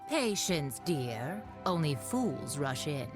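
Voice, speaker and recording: Game villainess: mocking, sly enchantress, lively swoops in a low silky register. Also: female voice, slow pace, full character voice. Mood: sly, amused. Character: sly, taunting female deceiver sorceress. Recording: music playing behind the voice.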